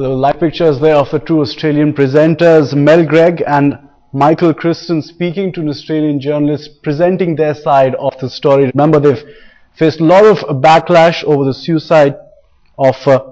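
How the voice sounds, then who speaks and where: Flat-toned man anchoring news. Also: on the high side. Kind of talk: live news recap. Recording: dull, band-limited broadcast audio.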